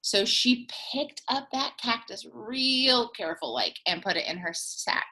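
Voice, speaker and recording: Animated, slow-paced woman reading a storybook. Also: a little high, gravelly. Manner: expressive, with playful asides to young children. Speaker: teacher reading a picture book aloud. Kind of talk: children's storytime read-aloud.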